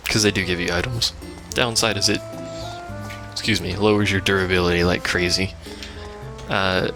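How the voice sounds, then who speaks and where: A man's voice: low voice, vocal fry. A man giving casual, rambling live game commentary as a let's play host, with wry asides. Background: video game music.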